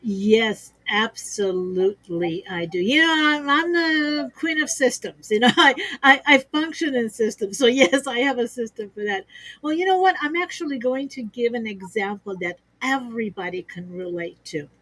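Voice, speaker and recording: A woman's voice: fairly high pitch, animated, moderate pace, a bit bright, no echo, low-quality recording. A woman speaking as an expert guest on a business livestream, upbeat and explanatory, laughing easily as she gives examples over a video call. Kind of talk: conversational business talk.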